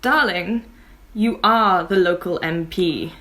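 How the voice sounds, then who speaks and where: A woman's voice: fairly low pitch, slow pace, a bit bright. A woman playing a genteel, arch lady of the manor who is suspicious of her house guest.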